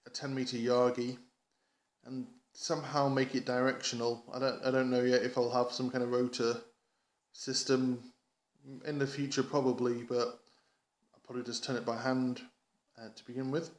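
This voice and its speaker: A man from England giving a casual hobby vlog update, rambling enthusiastically and haltingly, often repeating words as he goes. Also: medium pitch, flat delivery. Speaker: amateur radio hobbyist vlogger. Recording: echoey, roomy sound.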